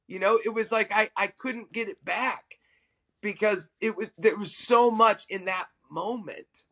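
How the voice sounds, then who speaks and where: A middle-aged man, a worship musician and podcast guest, telling a personal story in an animated, self-amused way. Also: high voice, measured pace.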